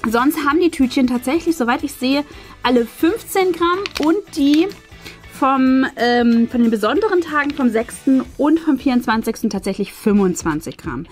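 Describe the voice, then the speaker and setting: A woman in her thirties with a high voice hosts a casual unboxing. She chats and explains to her viewers, with faint music playing behind her. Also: animated, normal speed. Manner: chatty, explanatory. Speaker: host of an unboxing channel. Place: small furnished indoor room. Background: faint background music.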